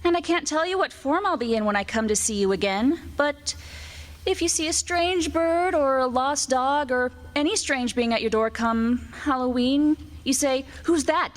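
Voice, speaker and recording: A woman's voice: high voice, normal speed, big-room sound, lightly acted. Woman playing a seductive, teasing witch. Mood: tender, solemn. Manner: coaxing, archaic storybook phrasing. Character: seductive, teasing immortal witch, promising riches and eternal life. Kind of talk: old-time radio drama acting. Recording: staged radio play with music.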